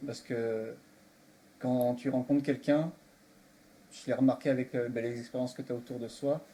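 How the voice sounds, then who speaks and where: A man's voice: medium pitch, steady tone, moderate pace, warm tone. A man of thirty-five from Belgium, sharing his view casually as a guest in a group discussion. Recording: faintly noisy room recording.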